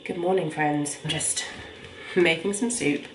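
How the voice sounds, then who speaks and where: A woman's voice: low voice, measured pace. A young woman vlogging from her home kitchen, chatting casually while she cooks, with a stuffy, bunged-up voice from a cold. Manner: rambling, conversational, talks while cooking. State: congested with a cold. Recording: echoey room, faint noise.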